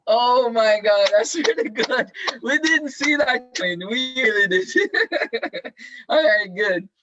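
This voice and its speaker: A man's voice: very high voice, lively intonation, slow pace, sharp tone. A male teacher leading an online class discussion, encouraging students and asking questions with many fillers. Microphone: video call. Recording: dull lo-fi video call audio.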